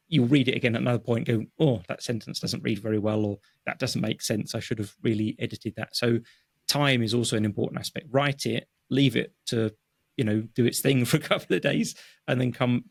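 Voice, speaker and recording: A man speaking English as his first language, a guest explaining his writing process in a relaxed interview, with many fillers and restarts. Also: mid-range voice, creaky, no echo. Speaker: guest, technical writer. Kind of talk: conversational interview. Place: home office.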